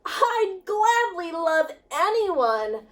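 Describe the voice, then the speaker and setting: Very high-pitched woman reading a children's story. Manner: animated, emphatic, sing-song storytelling with drawn-out words. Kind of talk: expressive children's picture book reading.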